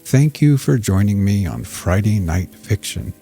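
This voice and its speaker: Male fiction podcast narrator: dark, mellow tone, lowish register, measured scripted read. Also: animated, soft background music.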